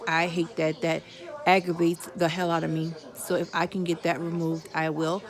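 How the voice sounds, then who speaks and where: Woman, 40s, low flat voice, chatty vlog update, crowd noise behind. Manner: chatty, candid, with religious asides. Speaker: vlogger. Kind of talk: casual personal update to viewers.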